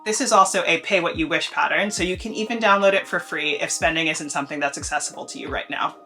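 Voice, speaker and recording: A woman's voice: lowish voice, fast talker, sharp tone, faint music behind. An enthusiastic young woman chatting on her sewing vlog, a little congested from allergies. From United States. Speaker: sewing vlogger. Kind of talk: casual upbeat vlog talk.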